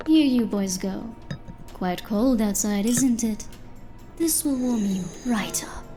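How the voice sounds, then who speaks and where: A woman's voice: fairly high pitch, animated, slow, dark tone, soft background music. A woman playing a polite, uneasy owner of a small diner in an audio drama. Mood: politely welcoming. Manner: polite, hesitant.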